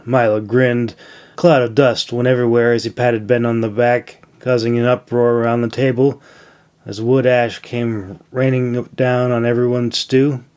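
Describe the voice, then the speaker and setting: A man narrates a fantasy novel as its author. His voice has a middling pitch, and he delivers scripted storytelling prose. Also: little pitch movement, moderate pace, darkish tone, clear, resonant tone.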